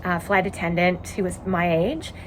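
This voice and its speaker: Chatty Canadian woman with a lowish voice. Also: steady tone, normal speed, slight background noise. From Montreal, Quebec, Canada. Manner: chatty, rambling, frequent ums and uhs. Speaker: knitting podcast host. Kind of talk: casual chat. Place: home in a tiny house.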